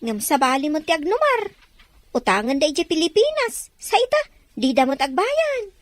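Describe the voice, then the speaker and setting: A woman's voice: high-pitched, sing-song, moderate pace, dry recording. A woman playing a shrewd overseas worker giving advice in an Ilocano audio drama. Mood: advising, reproachful. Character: shrewd woman working abroad, advising a friend about money. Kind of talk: Ilocano radio-style drama acting.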